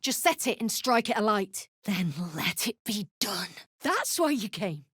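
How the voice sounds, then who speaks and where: A woman voicing an embittered, foul-mouthed deep gnome rebel in a video game, blunt and snarling. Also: very animated, measured pace, fairly bright tone, breathy edge, no echo, clean studio sound, exaggerated voice. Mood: defiant, angry. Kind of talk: video game character acting.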